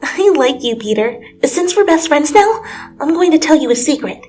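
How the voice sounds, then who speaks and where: Woman as an excitable, high-voiced little girl, music behind. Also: moderate pace. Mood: confiding. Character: excitable, chatty, insistent second-grade little girl. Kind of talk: dramatic fan fiction reading. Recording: music under the voice.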